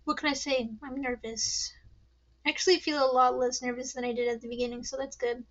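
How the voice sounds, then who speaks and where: A woman's voice: high voice, little pitch movement, brisk, lo-fi sound. A young adult woman with a young-sounding voice, hosting a casual solo podcast, rambling and nervous, swearing often and laughing at herself. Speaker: podcast host.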